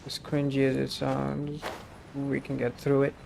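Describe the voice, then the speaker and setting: A man streams a game with casual commentary. His voice is dark and gravelly, delivered slowly in an offhand, unenthused way. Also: mid-range voice, completely flat delivery, slight background noise. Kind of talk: casual live gameplay commentary.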